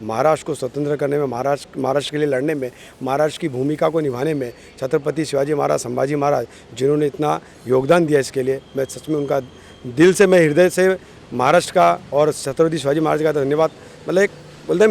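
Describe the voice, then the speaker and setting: A man's voice: a little high, fast, vocal fry, a little mellow, slight background noise. A man from Maharashtra, India, giving earnest, effusive interview remarks as a party politician, often repeating phrases for emphasis. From born in Maharashtra, India. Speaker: political party office-bearer.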